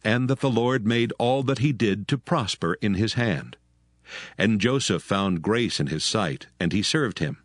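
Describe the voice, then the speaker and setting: A man narrating an archaic scripture text in a measured, solemn reading. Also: fairly low pitch, no echo, clean studio sound.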